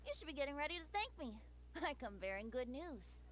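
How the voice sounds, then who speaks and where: A woman's voice: high voice, very animated, a little fast, fairly bright tone, slight background noise. A woman voicing a breezy, teasing young male bard in a video game, with a lilting, theatrical manner.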